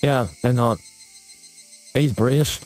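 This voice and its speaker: A woman using a voice-changer filter that lowers her voice into a man's, reacting with amusement. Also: steady tone, slow, a little mellow, soft background music, heavily acted. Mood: amused. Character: grown man.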